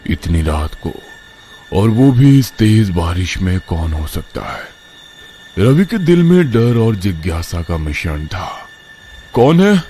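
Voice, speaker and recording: A man's voice: sits low, sing-song, takes it slowly, dark tone, soft background music. A man narrating a scripted horror story in a dramatic, suspenseful way.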